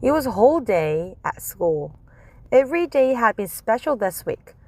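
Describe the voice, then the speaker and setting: A woman's voice: fairly high pitch, very animated, normal speed, warm tone. A woman narrating a children's chapter book as a read-aloud. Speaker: storybook reader.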